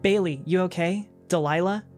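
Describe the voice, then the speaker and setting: A man voicing an anxious young mother who speaks in a hushed, near-whispered way. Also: sits high, moderate pace, soft background music, pitched above the performer's own voice, natural voice.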